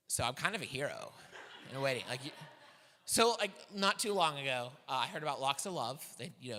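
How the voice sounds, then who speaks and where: High-voiced comedian in a reverberant church hall. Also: male voice. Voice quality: high voice for a heavy man. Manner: self-deprecating, animated storytelling. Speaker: stand-up comedian. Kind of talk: stand-up comedy set. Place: church hall with live audience.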